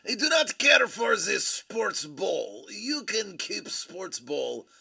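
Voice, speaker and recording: A man voicing a boastful nerdy man with a mock Bulgarian-Russian accent, speaking in slow, clipped, broken English. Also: high-pitched, very animated, creaky, pushed and bright, breathy edge, pitched above the performer's own voice, full character voice. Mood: smug.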